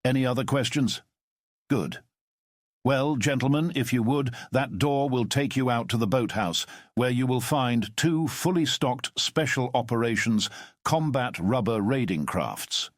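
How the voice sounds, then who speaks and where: A man voicing a calm, commanding middle-aged former spy who speaks in a measured, formal way. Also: mid-range voice, dry recording, clean studio sound, close to an everyday voice. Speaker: storyteller. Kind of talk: audio drama storytelling.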